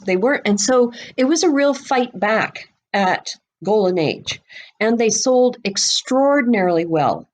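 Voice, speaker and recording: A woman with a mid-range voice talks as the knowledgeable guest on a podcast. She explains history in an emphatic, conversational manner. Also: mid-range voice. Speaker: guest expert and bookseller.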